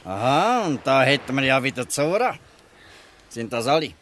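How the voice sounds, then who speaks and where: An actor playing a gruff but good-hearted old fisherman in a Swiss German dialect radio play, scolding and exclaiming. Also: medium pitch, sing-song, normal speed, fairly bright tone, a little hiss or hum. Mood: calm, curious. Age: older.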